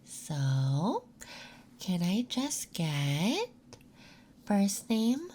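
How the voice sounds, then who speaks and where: A soft-spoken ASMR performer playing a gentle, calming nurse, speaking in a soothing, unhurried way during a roleplay intake. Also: mid-range voice, a little hiss or hum.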